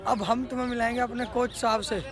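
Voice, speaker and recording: A man's voice: very high-pitched, flat delivery, fast talker. A young man vlogging at an outdoor stadium track with his phone, chatting casually and upbeat to his viewers. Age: young adult. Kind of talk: casual vlog commentary. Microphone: phone camera at arm's length. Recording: outdoor phone audio with crowd noise.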